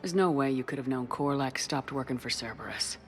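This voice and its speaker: A woman playing a steady, firm military commander in a video game, direct and controlled. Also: rumbling low, brisk. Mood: calm. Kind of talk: cinematic video game acting. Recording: game music underneath.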